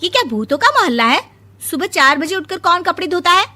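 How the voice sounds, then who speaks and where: Young cartoon bride voiced by a woman: very high, sharp, melodramatic, full of complaint, light music behind. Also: very animated, a little fast, full character voice. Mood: outraged. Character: dramatic young bride obsessed with washing clothes. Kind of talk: animated moral story dialogue.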